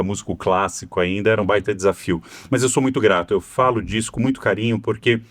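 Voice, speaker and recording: Fast-talking Brazilian man, dry studio sound. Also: on the low side, no echo. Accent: Brazilian Portuguese, São Paulo. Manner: fluent, conversational storytelling with frequent "né" tags. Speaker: cybersecurity executive and guest. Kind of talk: podcast interview.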